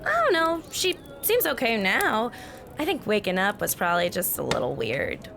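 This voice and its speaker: A woman's voice: medium pitch, big pitch swings, normal speed, vocal fry, fairly bright tone, slight background noise. A woman playing a level-headed teenage American girl in a fantasy audio drama, reasoning firmly and assertively with her friends. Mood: practical.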